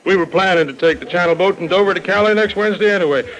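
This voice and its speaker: High, fast-talking eager Texan. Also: male voice, fairly bright tone, low-quality recording. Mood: eager, insistent. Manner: folksy, deferential to sir, bossy to wife. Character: eager, gullible, self-assured Texan businessman husband. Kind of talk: 1950s radio drama acting. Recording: old radio drama recording.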